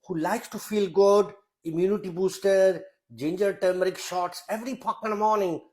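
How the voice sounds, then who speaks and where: A man's voice: sits high, steady tone, normal speed, a little mellow. A man with a Yorkshire accent hosting a crude, jokey home recipe demo, swearing freely as he talks through each step in his kitchen. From Yorkshire, England. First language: Indian heritage. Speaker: cooking show host.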